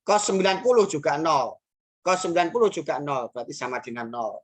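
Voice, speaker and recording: A woman's voice: deep voice, lively intonation, fairly quick, husky. A woman teaching math, talking through each step aloud with rhetorical questions and frequent hesitations. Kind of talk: math lesson explaining worked examples.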